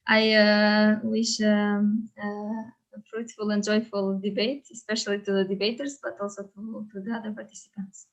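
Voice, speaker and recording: A woman's voice: mid-range voice, little pitch movement, normal speed. A woman adjudicating an online debate, speaking politely and formally over a video call. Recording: muffled video call audio.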